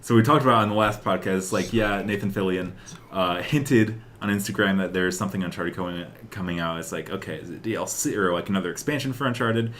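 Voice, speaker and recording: A man's voice: sits low, fast talker, fairly bright tone, slight background noise. A man co-hosting a podcast, chatting casually and enthusiastically about games and film news, with strong opinions. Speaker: podcast co-host.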